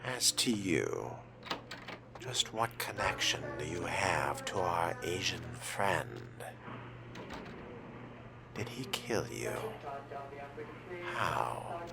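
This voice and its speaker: A man role-playing a vampire character as he plays a game, musing aloud in a deliberate, formal, often hushed manner. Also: low-pitched, very animated, gravelly, over music. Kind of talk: in-character roleplay narration of game playthrough.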